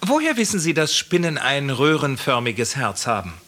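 A man's voice: mid-range voice, very animated, normal speed, bright, forceful tone, soft background music. An actor playing a brash young man in a radio drama, who asks pushy, coarse questions. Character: mayor's nephew, brash, prying young man.

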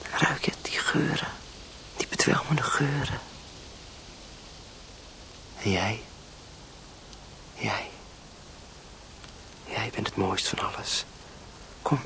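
An actor playing a tender, anxious young lover in a radio drama, earnest and halting, often murmuring. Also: male voice, lowish voice, pushed and bright, in a whisper, heavily acted, low-quality recording. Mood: awed. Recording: clear outdoor nature noise.

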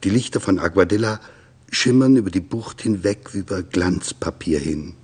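A man's voice: low voice, lively intonation, normal speed, darkish tone, husky. A man reading descriptive literary prose in a scripted radio style.

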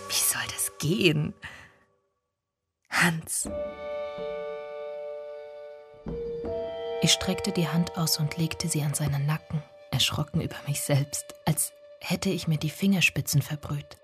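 An actress playing a woman who remembers her lost lover, narrating a literary radio drama in a hushed, deliberate, intimate way. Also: sits low, fairly even intonation, loud music behind the voice. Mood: hushed, tender. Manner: hushed, deliberate, often breathy.

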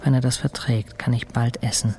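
An actor playing a weakened male patient, narrating his recovery in terse, measured fragments as a radio drama monologue. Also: medium pitch, fairly even intonation, warm tone, slight background noise, lightly acted. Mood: weary, sober. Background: music.

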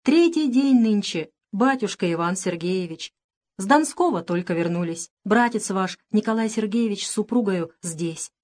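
A woman's voice: medium pitch, animated, moderate pace, a little mellow, lightly acted. Elderly devoted valet in mourning, tearful and hollow-voiced, for audiobook, played by a woman. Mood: tearful grief. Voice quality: muffled, hollow.

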